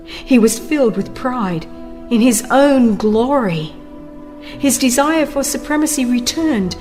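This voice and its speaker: A woman narrates a religious audiobook in a fairly high voice. Her delivery is slow, solemn and dramatic, with deliberate pauses between phrases. Soft music plays behind her. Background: music under the voice.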